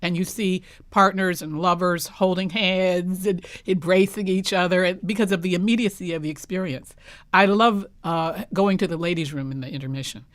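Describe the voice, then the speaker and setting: Female interview guest, playwright type: lowish voice, emphatic, measured phrasing, close dry sound. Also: fairly even intonation, no echo. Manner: emphatic, deliberate, articulate. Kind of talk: reflective panel interview.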